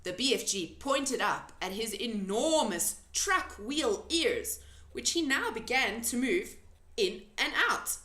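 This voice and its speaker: A woman reading a children's storybook aloud in an expressive narrating style. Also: fairly high pitch, slow pace, reverberant.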